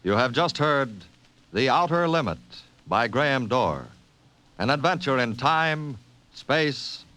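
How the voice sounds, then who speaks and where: A man's voice: medium pitch, expressive, slow, bright, forceful tone. An American man announcing a 1950s radio drama in a formal, declamatory manner. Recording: old 1950s radio recording.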